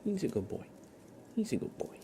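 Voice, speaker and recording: A man hosting an ASMR livestream, chatting gently and informally in a soft, breathy voice that often slips into a whisper, close to the microphone. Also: moderate pace, slight background noise. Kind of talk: soft-spoken ASMR, casual live chat.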